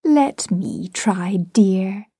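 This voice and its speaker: A woman voicing a placid, gentle Edwardian mother who speaks softly and wistfully, in a storybook reading. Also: high voice, animated, a little slow, no room sound, studio quality, voice pushed up. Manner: soft, tender, wistful.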